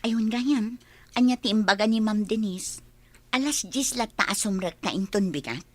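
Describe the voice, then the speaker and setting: A woman's voice: medium pitch, normal speed, no echo, natural voice. An actress with a Filipino accent playing a hardworking, nagging young girlfriend in a radio drama, speaking earnestly. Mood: chatty.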